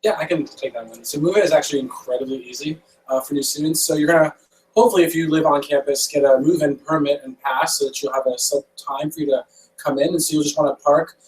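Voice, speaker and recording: Brisk young man, quick and factual, distant mic with low hum. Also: steady tone. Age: young adult. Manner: quick, matter-of-fact. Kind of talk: casual video call chat.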